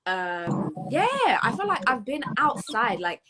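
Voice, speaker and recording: A woman's voice: on the high side, sing-song, slow pace, heavy background noise. A British woman in her twenties chatting casually as a podcast co-host, emphatic and slangy, dropping in Spanish phrases. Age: 20s. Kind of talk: casual chat.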